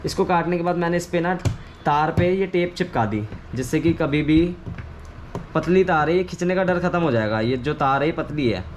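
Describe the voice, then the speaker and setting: A man's voice: fairly high pitch, normal speed. Man, casual tutorial, noisy background. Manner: informal, explanatory, repeats himself. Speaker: video creator giving a how-to. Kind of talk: casual hands-on tutorial chat. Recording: clearly noisy ambient background.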